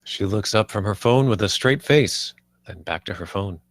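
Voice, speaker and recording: A man's voice: lowish voice, normal speed, no room sound, studio quality. A man reading scripted stage directions as the narrator of a live screenplay table read.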